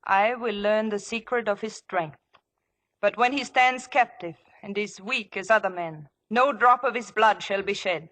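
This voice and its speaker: A seductive, vengeful ancient temptress in a 1950s radio drama. Her voice is bright and mid-pitched, with formal, deliberate phrasing. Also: poor audio. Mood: silky, scheming.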